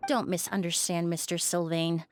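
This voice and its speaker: A woman playing a composed, polite young perfumer who probes quietly in measured speech for a video game. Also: lowish voice, flat delivery, noisy background, lightly acted. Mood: calm, serious. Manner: measured, polite, softly pointed.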